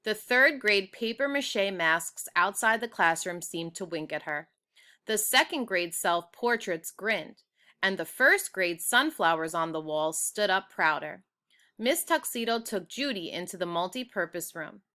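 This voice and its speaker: A woman reading a children's book aloud, with expressive, deliberate storytelling. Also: mid-range voice, clean tone. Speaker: storybook reader.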